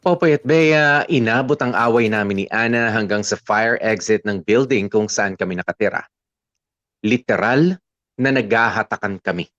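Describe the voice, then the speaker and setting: A man's voice: fairly low pitch, normal speed, fairly bright tone, no echo, muffled recording, close to an everyday voice. A man playing a weary, fed-up boyfriend, narrating his breakup as a scripted confession with English mixed in. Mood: frustrated. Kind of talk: scripted first-person radio drama narration.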